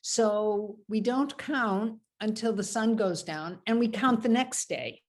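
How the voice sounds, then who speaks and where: A woman's voice: on the high side, takes it slowly. American woman rabbi teaching, rambling with ums. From United States. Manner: warm, meandering teaching with many ums and asides. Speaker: rabbi teaching Torah study. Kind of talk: informal Torah study over video call.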